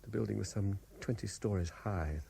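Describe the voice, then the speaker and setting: An actor with a British accent playing a sceptical, worldly middle-aged lawyer in a 1970s radio drama, urbane and probing, sometimes stumbling into restarts. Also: male voice, fairly low pitch, normal speed, creaky, warm tone, a little hiss or hum. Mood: reflective, uneasy.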